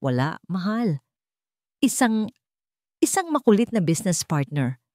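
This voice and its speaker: A woman voicing a smooth-talking, boastful rich man who hides his desperation from his fiancée. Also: medium pitch, big pitch swings, unhurried, mellow, no room sound, studio quality, voice pushed up. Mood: evasive, placating.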